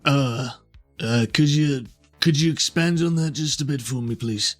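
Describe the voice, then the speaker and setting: A man playing a large, easygoing man in a tabletop game, hesitant and gently teasing as he talks. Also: medium pitch, unhurried, soft background music, close to an everyday voice. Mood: sheepish, explaining. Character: Isaac, large square-built man, easygoing wry backup. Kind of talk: improvised tabletop roleplay.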